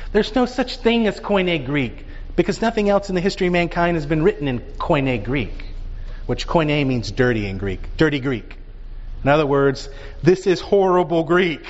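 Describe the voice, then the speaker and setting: A man teaching a Bible class, talking in an animated way and dotting his points with rhetorical questions. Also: on the high side. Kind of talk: lecture with audience questions. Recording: dull sound with long reverb in a hall.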